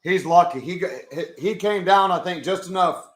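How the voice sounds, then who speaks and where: A young man with a hoarse voice, chatting casually with his live chat as he watches a football game. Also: high voice, moderate pace, a bit bright, reverberant. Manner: chatty, swears, talks to chat. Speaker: live stream host.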